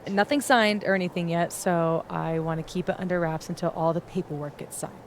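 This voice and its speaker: A woman's voice: fairly low pitch, steady tone, a little fast, a little hiss or hum, close to an everyday voice. A woman playing a sly, secretive young woman in a video game, with a dry, teasing, drawling delivery. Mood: shy, confiding. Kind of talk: naturalistic video game acting.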